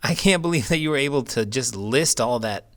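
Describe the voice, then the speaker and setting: A man's voice: medium pitch, lively intonation, brisk, fairly bright tone. A man hosting a children's show, reading a picture book aloud expressively with big emotions. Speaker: children's book reader and host. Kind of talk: expressive storybook read-aloud.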